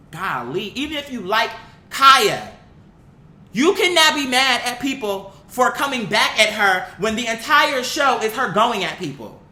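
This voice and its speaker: A woman's voice: fairly high pitch, pushed and bright. A woman giving a casual, opinionated commentary rant, blunt, profane and indignant, reading comments aloud and repeating phrases for emphasis. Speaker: online commentator reacting to viewer comments. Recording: echoey, roomy sound.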